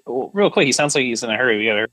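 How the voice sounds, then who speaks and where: A man's voice: medium pitch, lively intonation, racing, pushed and bright, no echo. A man co-hosting a podcast in casual chat, playfully riffing with short quips. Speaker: podcast co-host.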